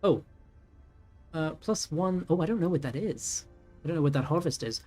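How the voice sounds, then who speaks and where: A man's voice: on the high side, expressive, normal speed, a little mellow. Man in his thirties musing over a game. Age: 30s. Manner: thinking aloud, many ums and self-corrections. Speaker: gaming YouTuber. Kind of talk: casual let's-play commentary. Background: faint game music.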